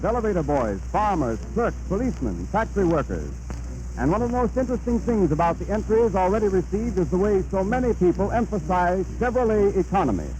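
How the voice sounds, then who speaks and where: A man announcing a scripted sponsor message in the style of 1930s radio. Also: high voice. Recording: noisy vintage 1930s radio recording.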